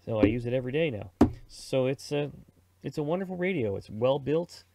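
Casual, gravelly middle-aged man explaining software. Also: mid-range voice, expressive, brisk, no room sound. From Canada. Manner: chatty, informal, slangy, narrates own thoughts. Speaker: presenter of a radio software tutorial. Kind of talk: casual screen-recorded tutorial.

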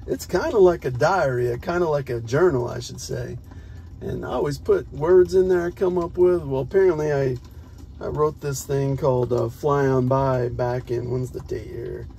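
A man's voice: medium pitch, normal speed, creaky, mellow, slight background noise. An American man chatting casually to viewers in an upbeat, rambling way, as a solo vlogger. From United States. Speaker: spoken word poet and vlogger. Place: parked car, talking alone.

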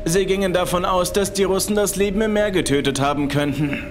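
A man's voice: high-pitched, a little fast, pitched above the performer's own voice, natural voice. A man voicing a tense, nervous male boss who speaks in a stern, urgent way in a horror audio story. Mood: grave, tense. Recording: music behind the voice.

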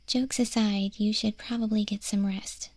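A woman playing a teasing, caring wolf girl in an ASMR roleplay, speaking in a soft, breathy whisper with a gently deadpan manner. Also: mid-range voice, moderate pace, dry recording, close to an everyday voice. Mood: playfully teasing.

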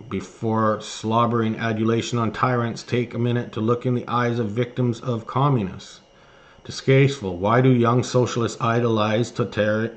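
A man hosting an online news commentary, reading articles aloud and remarking on them somewhat haltingly. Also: mid-range voice. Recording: noticeable background noise.

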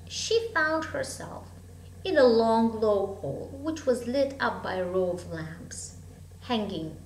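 High-voiced woman reading a storybook slowly. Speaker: storybook reader. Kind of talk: expressive children's story reading. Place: room at home with bookshelves. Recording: echoey room, hum and background noise.

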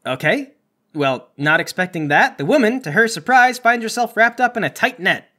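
A man's voice: sits high, animated, moderate pace, pushed and bright. A man running a tabletop roleplaying game, narrating and bantering in an exasperated, sarcastic way. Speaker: game master.

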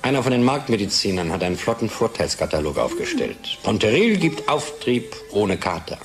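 An actor playing a gloomy, disillusioned husband in a German radio play, speaking in an emphatic, brooding way. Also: male voice, on the low side, wildly swooping pitch, a little fast, faint music behind. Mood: agitated, bitter. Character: disillusioned middle-class husband, agitated and gloomy. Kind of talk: radio drama acting.

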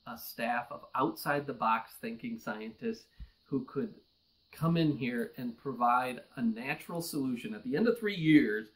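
A man's voice: mid-range voice, poor audio. Earnest man making an urgent appeal. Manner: earnest, urgent, building to emphatic pleas. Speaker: nonprofit research director. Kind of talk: direct-to-camera appeal. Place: office with bookshelves, indoors. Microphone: close mic, seated on camera.

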